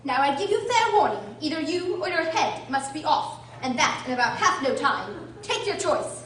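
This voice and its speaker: Shrill, furious storybook Queen. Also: sing-song, moderate pace. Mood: raging. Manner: commanding, shouting. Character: furious, imperious storybook Queen who orders beheadings. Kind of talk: radio drama acting. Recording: roomy, distant recording with background noise.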